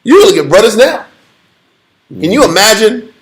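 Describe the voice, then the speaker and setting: A man with a fairly high voice leads a Bible study, loose and slangy. He jokes and speaks with blunt emphasis. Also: very animated, normal speed, background noise. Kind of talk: casual Bible teaching.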